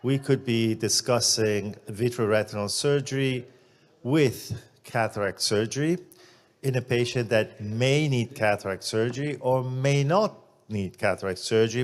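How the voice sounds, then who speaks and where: A man moderating a panel of eye surgeons, speaking in a measured way with frequent fillers and some throat clearing. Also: on the low side.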